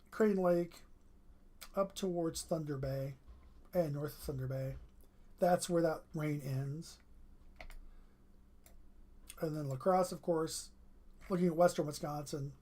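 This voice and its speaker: Live weather streamer, strained voice, clears throat often, steady radar commentary, mid pitch. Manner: steady running commentary through radar maps. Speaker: weather livestreamer.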